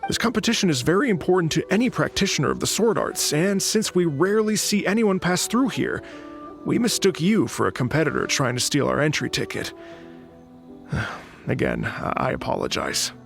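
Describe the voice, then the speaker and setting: A man's voice: fairly high pitch, sing-song, normal speed, gravelly, soft background music. A man playing an earnest, proud sword disciple, speaking formally and solemnly in dramatic video game style. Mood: humbled, earnest. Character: earnest, proud young male sword disciple, senior student.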